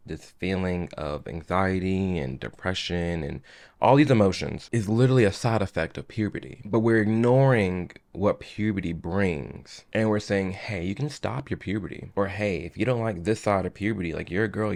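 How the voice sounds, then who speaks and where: A man with a lowish voice comments casually on clips he plays. He talks in an impassioned, emphatic way, often restarting his sentences. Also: creaky, a little mellow. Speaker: commentary host.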